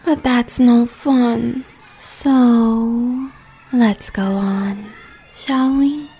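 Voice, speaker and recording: Predatory female spirit, British, husky and dark-toned, menacing and amused, for audio drama. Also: fairly high pitch, steady tone, full character voice. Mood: menacing amusement. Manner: slow, menacing, breathy drawl with whispered phrases. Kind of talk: comic audio drama acting.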